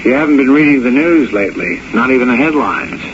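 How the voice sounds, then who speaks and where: An actor playing a grim, knowing, cynical confidant in a 1970s radio drama, blunt and sardonic, on a muffled, noisy old recording. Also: male voice, medium pitch, animated, close to an everyday voice. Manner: deliberate, sardonic, blunt delivery.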